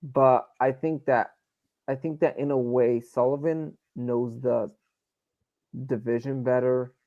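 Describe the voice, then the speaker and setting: A man's voice: mid-range voice, fairly even intonation, slow, no room sound, phone-quality sound. Emphatic New York man debating sports. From New York, United States. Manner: opinionated, emphatic, repeats himself. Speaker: sports fan show panelist. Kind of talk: casual live sports debate. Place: live stream call.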